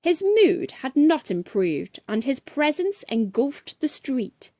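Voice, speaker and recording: Slow, expressive woman storyteller. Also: sits high, no echo. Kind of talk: expressive scripted children's bedtime story narration.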